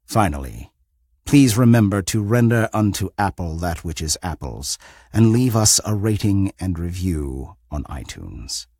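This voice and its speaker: Very deep, husky, theatrical male host. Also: a little mellow, no room sound, studio quality. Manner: theatrical, formal, deliberate phrasing. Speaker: host of an audio drama anthology podcast. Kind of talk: scripted narration.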